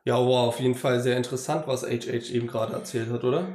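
Upbeat host in a roomy space. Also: male voice, mid-range voice, steady tone, fast, darkish tone, a little hiss or hum. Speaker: podcast host. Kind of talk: casual podcast hosting.

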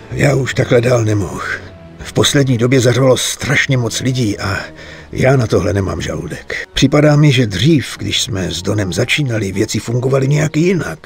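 An older man playing a weary, remorseful mob bookkeeper in a dubbed game, earnest and halting, pleading for his family. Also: fairly low pitch, gravelly. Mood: weary, resigned. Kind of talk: dubbed video game cutscene acting.

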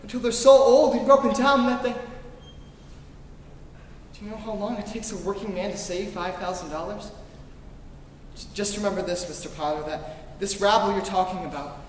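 A man's voice: sits high, moderate pace, natural voice. An earnest young idealist, acted by a man in a live radio play, speaking with passion. The sound is distant, reverberant and slightly noisy. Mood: impassioned. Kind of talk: staged old-time radio play acting. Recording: echoey hall from far off, noisy.

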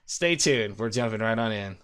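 A man in his thirties giving an informal, upbeat screen-recorded software tutorial as host. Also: fairly low pitch, lively intonation, normal speed, very noisy. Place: indoor room at a desk.

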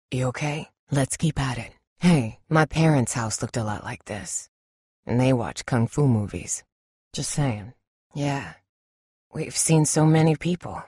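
A woman's voice: rumbling low, mellow, husky, dry recording, studio quality, exaggerated voice. A woman playing a tough, blunt but caring survivor of an apocalypse in a dramatic video game. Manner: blunt, urgent, no-nonsense.

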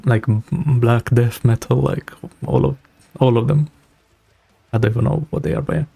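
A man's voice: medium pitch, steady tone, moderate pace, vocal fry, warm tone, husky, no room sound. A young man giving casual, slangy live reaction commentary as a streamer, talking into a close mic. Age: young adult. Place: small home room, indoors. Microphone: close broadcast mic on boom arm. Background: music from the video being watched.

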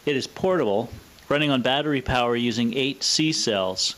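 A man presenting a scripted, explanatory product demonstration in a measured, matter-of-fact way. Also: mid-range voice, a bit bright, low-quality recording. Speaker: presenter of a vintage radio showcase video.

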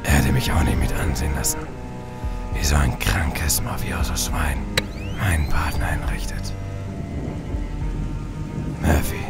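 A man playing a gravelly, weary old noir detective. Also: full character voice. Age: older. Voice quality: deep gravelly, hard-boiled. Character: bitter gruff aging male noir detective. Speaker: narrator. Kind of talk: noir parody audio drama.

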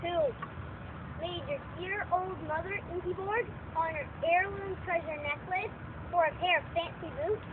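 A very high, childlike voice for an eager young urchin puppet, acted by a stage performer. The sidekick is chatty, naive and cheeky. The recording is thin and noisy. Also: fairly even intonation, normal speed, narrow, tinny audio. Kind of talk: live puppet theater acting.

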